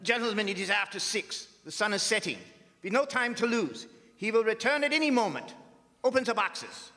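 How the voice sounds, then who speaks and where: A man playing a grave, authoritative professor who leads vampire hunters in a radio drama, speaking in solemn, deliberate oratory. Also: high-pitched, animated, sharp tone, slight background noise, heavily acted. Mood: urgent. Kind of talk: old-time radio drama acting. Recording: live theater stage, long hall reverb.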